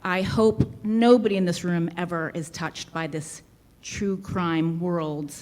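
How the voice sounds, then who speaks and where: A woman's voice: fairly low pitch, steady tone, slow, darkish tone. A woman with a light Boston accent hosting a live podcast show in a brewery, talking in a chatty, easygoing way. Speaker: podcast host.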